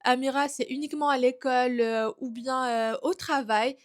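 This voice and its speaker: A woman in her thirties with a bright, high voice tells her own story in an easy, chatty way. She is a fashion creator introducing herself to her viewers. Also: level tone, normal speed. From Algeria. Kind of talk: casual vlog introduction.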